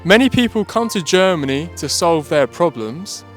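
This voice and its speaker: A British man doing casual street interviews outdoors in a city, chatty and quick to laugh. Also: fairly high pitch, lively intonation, faint music behind. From Britain.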